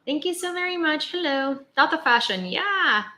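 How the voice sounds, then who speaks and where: A young woman from Ukraine hosts a relaxed livestream, rambling through chat with lots of fillers. Her voice is bright and a little tense, and it rings in an echoey room. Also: high-pitched, moderate pace. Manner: chatty, rambling, many fillers and restarts. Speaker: livestream host. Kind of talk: casual livestream chat, reading viewer comments.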